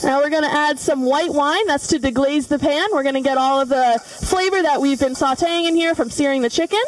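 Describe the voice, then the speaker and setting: A woman's voice: sits high, a little fast, a little hiss or hum. A young woman giving a cooking demonstration in a large studio kitchen, explaining each step with frequent fillers. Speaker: culinary student demonstrator. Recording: voice from far off the mic.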